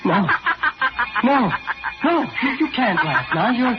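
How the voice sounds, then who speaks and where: An actor playing a nervous, guilt-ridden young murderer in a 1940s radio horror drama, stammering and halting with anxiety. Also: male voice, very high-pitched, sing-song, heavily acted. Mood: panicked, muttering. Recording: dull vintage radio recording with music.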